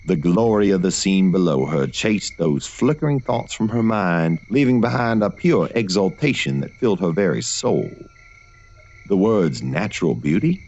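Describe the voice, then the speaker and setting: A man reading scripted gothic horror fiction as a narrator, in a measured, literary storytelling manner. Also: low-pitched, lively intonation, darkish tone, dull audio.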